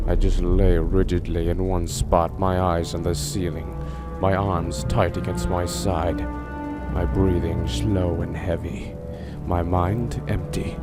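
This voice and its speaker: A man playing a tense, weary hunter in a radio drama, telling his ordeal in a grave, dramatic way. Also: deep voice. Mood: brooding dread. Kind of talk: first-person radio drama narration.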